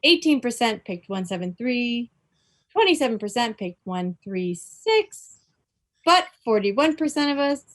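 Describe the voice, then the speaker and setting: A woman's voice: a little high, very animated, measured pace. A woman chatting casually and reading out quiz answers as a club member on a video call.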